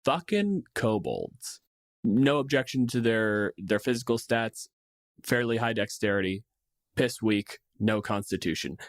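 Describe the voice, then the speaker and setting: Low-voiced, casually sweary man, close dry sound. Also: expressive, measured pace, no room sound. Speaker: podcast co-host. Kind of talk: casual discussion of tabletop game design.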